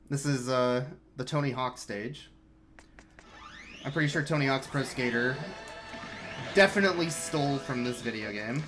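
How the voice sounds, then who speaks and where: A man giving relaxed, chatty live commentary on his speedrun, explaining strategy as he plays. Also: mid-range voice.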